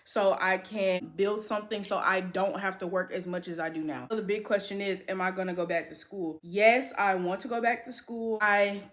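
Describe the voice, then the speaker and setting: A woman's voice: mid-range voice, steady tone, normal speed, few pauses, a bit bright. A woman in her twenties talking casually to camera in an upbeat, chatty way, with lots of filler words. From United States. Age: 20s. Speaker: beauty vlogger.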